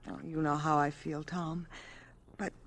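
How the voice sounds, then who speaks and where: An actress in a radio drama playing a gentle, affectionate mother who speaks politely and graciously. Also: low voice, level tone, measured pace. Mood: fond, hesitant. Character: gentle, gracious young mother being courted.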